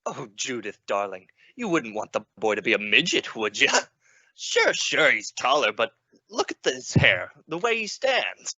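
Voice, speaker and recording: A genial, indulgent father acted by a man in a vintage-style radio play. He sounds jovial and coaxing, with lively swings in a bright voice. The recording is slightly dull. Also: mid-range voice, a little slow, no room sound, heavily acted. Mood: genial, teasing. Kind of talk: old-time radio suspense acting. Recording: slightly dull, muffled audio.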